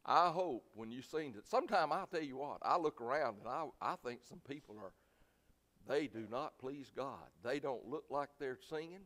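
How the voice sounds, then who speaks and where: Preacher man in a reverberant hall. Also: medium pitch. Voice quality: loud voice. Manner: folksy, plainspoken, emphatic, swinging from quiet asides to loud exhortation. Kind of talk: sermon.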